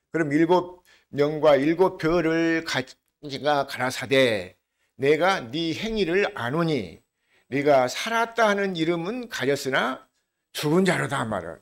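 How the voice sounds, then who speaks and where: Older Korean pastor with regional dialect. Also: male voice, a little high. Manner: conversational preaching, asks the congregation questions; slows down to lead scripture reading together. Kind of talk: sermon.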